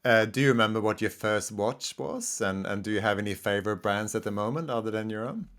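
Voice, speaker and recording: A man's voice: fairly low pitch, brisk, a bit bright. A man hosting a casual interview, asking questions in a friendly, encouraging way. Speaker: interview host.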